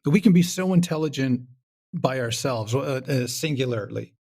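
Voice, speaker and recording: A man's voice: medium pitch, lively intonation, moderate pace, vocal fry, darkish tone, slightly rough. A man talking casually as an interview guest, wry and rambling, often acting out little quotes. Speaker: podcast guest, comedy show creator.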